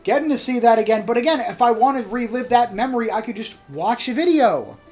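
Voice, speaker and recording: A man's voice: very high voice, lively intonation, fast, darkish tone. Emphatic male podcast co-host over music. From Southern United States. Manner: emphatic, wordy arguing, swears freely. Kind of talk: casual chat and debate.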